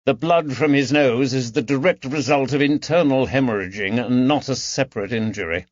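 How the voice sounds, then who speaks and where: Man acting a sardonic gentleman surgeon, dry-sounding. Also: mid-range voice, normal speed, no echo, dull audio, natural voice. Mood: brisk, dry. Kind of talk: audiobook character dialogue.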